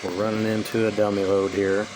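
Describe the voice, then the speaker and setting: A man with a fairly low voice gives an informal, hands-on demonstration. He talks plainly and folksily, with loose everyday grammar. Steady background noise is clearly audible behind him. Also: brisk. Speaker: hobbyist presenter demonstrating radio gear.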